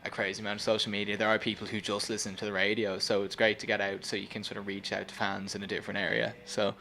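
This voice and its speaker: A man's voice: lowish voice, flat delivery, fast talker, creaky, sharp tone. A young man chatting casually in an interview as a band member. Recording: background noise and ambience.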